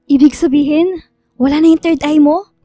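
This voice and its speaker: A woman's voice: high-pitched, steady tone, normal speed, mellow, no room sound, close to an everyday voice. An actress playing a loyal, caring young female friend in a radio horror drama, concerned in manner. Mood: surprised, hopeful.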